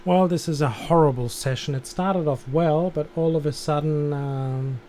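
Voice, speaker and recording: Mellow man on a flight-control stream. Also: a little high, moderate pace. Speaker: streamer playing air traffic controller. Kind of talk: live game stream with controller instructions and commentary. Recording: background noise.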